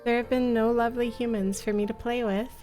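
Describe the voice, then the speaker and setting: A woman's voice: fairly high pitch, steady tone, a little fast, warm tone, faint music behind, lightly acted. A woman voicing a sweet, well-bred young mermaid with polished, formal speech for a visual novel. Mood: wistful. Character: refined, girlish, amicable young female mermaid, sweetly excited. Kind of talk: visual novel character read aloud.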